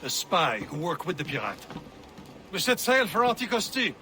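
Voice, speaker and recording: Snooty french schemer, swoopy pitch, bright voice, insulting, game cutscene. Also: male voice, normal speed, a little hiss or hum, full character voice. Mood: scornful, boastful. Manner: scornful, animated.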